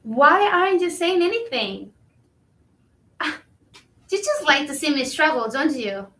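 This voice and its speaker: A woman's voice: high-pitched, very animated, unhurried, a bit bright, heavily acted. A woman in a staged play reading playing a hopeful, pleading woman who dreams of a shared home. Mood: hopeful, coaxing. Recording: echoey room, far from mic.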